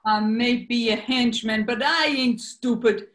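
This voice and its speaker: A woman plays a shrewd, well-mannered gangster's heavy in a 1940s radio comedy. The delivery is very slow and deliberate, fairly high for the part. The room echoes noticeably. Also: fairly bright tone. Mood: suspicious. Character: polite but shrewd male gangster henchman.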